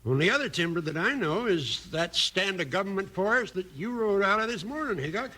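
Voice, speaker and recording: Shrill, raspy frontier timber rancher. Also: male voice, lively intonation, a little fast, sharp tone, heavily acted. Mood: troubled. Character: excitable old-West timber rancher, plainspoken, indignant. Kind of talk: 1950s radio western acting. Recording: old 1950s radio recording.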